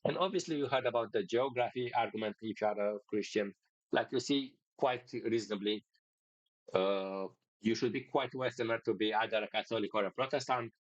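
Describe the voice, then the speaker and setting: Romanian guy talking in halting english, lots of uhs and restarts, dry dull sound. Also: fairly low pitch, no echo. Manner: hesitant, many fillers and restarts in non-native English. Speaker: podcast host. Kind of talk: casual monologue.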